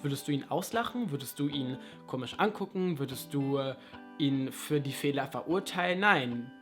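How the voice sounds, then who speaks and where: A man's voice: mid-range voice, lively intonation, moderate pace, soft background music. A young man talking casually in an advice vlog, chatty and full of rhetorical questions and self-mocking asides. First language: German. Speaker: language vlogger.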